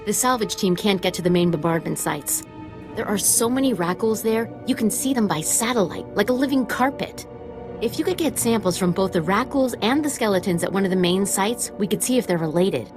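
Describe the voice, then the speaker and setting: A woman's voice: medium pitch, animated, fairly quick, music in the background, close to an everyday voice. A woman acting an earnest, idealistic young female scientist in a video game, speaking expressively.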